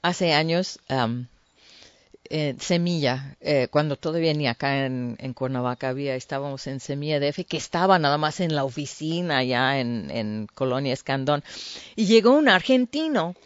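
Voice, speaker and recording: A woman with a Mexican Spanish accent preaching earnestly to a women's group, with frequent fillers and the odd throat-clear. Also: low-pitched, lively intonation, moderate pace, dry recording, dull audio. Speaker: women's ministry speaker.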